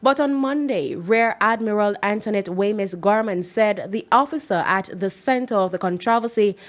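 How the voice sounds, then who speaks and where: Jamaican woman reporter, mid-pitched. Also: moderate pace. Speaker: TV news reporter. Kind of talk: scripted news report.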